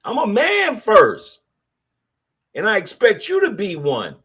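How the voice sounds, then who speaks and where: A middle-aged man hosts a podcast monologue. His voice sits a little high for a man and swings widely in pitch. He speaks bluntly, with street slang and strong opinions. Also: wildly swooping pitch, takes it slowly, a bit bright, breathy edge. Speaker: podcast host.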